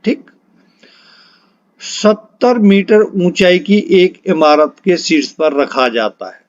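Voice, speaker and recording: A male exam-prep teacher with a fairly high voice walks through problems step by step. He asks a question, answers it himself and repeats the key phrase, all at a very unhurried pace. The recording is a little dull. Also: very animated. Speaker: physics teacher for exam preparation. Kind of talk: step-by-step problem-solving lesson.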